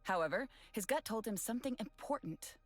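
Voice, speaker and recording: A woman giving scripted story narration in a steady, even reading voice. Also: a little high, brisk, faint music behind.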